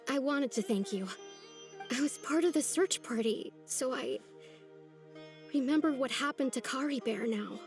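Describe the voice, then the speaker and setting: A woman playing a wistful, sincere young village girl in a video game, with soft music behind her. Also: sits high, measured pace, long pauses. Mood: grateful, sheepish. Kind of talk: video game dialogue acting.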